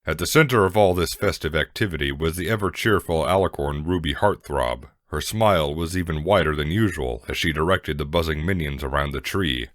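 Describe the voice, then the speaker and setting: A man's voice: very deep voice, fairly quick, hardly any pauses, a bit bright, dry recording, clean studio sound. A man narrating a scripted story as a dramatic reading.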